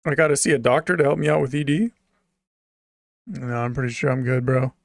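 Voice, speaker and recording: A man livestreaming, reading out his chat and answering it in a joking, deadpan way. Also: medium pitch, a little fast, vocal fry, no echo, studio quality.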